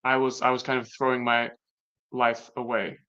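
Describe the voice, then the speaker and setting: Latvian man talking in halting reflection. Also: mid-range voice, flat delivery, pushed and bright, clean tone. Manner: reflective, halting, with many restarts. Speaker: guest. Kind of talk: casual conversation. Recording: dull lo-fi sound.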